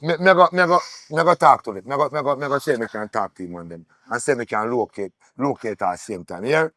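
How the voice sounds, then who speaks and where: A man's voice: medium pitch, animated, quick pace, fairly bright tone, no echo, close to an everyday voice. A man with a Jamaican accent acting an anxious street man trying to defuse trouble, urgent and repetitive, in a drama. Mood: anxious, resolute.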